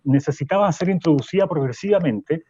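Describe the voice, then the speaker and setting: A man's voice: on the high side, expressive, brisk, dark tone, breathy edge, no room sound, lo-fi sound. A man playing a professor guest who stumbles and corrects himself. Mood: explaining. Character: male professor, opinionated guest. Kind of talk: radio drama acting an interview.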